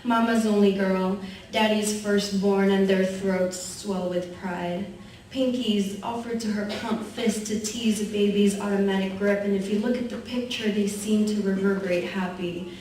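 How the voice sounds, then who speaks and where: A young woman performing a spoken word poem at an open mic, measured and rhythmic. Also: medium pitch, steady tone, a little mellow, slight background noise. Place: university event hall. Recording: echoey hall recorded from far off.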